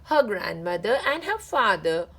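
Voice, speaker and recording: Animated woman reading a children's storybook. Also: medium pitch, unhurried, husky. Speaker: read-aloud channel host.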